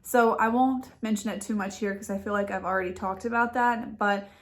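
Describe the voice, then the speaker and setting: A woman's voice: medium pitch, brisk. A young woman with a stuffy nose from a head cold, chatting casually about beauty products from her couch at home. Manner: chatty and relaxed, with small laughs. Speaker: beauty vlogger. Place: living room couch at home. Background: dog making noise nearby.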